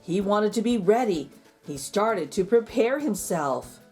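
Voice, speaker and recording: A woman storyteller reading a children's picture book aloud in an expressive narrating style. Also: mid-range voice, a little slow, faint music behind.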